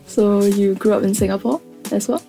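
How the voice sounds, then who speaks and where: Woman podcast host, mid-pitched, brisk interviewer, faint music. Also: fairly even intonation, creaky. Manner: bright opener, then frequent short backchannels. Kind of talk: interview hosting.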